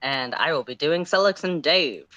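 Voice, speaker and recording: A man's voice: fairly high pitch, normal speed, sharp tone, dry recording, muffled recording. A man co-hosting a livestream in a casual style, as he chats and reads fan fiction aloud. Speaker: co-host and story narrator.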